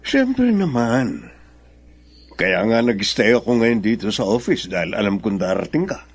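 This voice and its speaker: A man acting in a Filipino radio drama as a smooth-talking, insistent older businessman father. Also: on the low side, very animated, a little slow, full character voice. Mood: affectionate, placating.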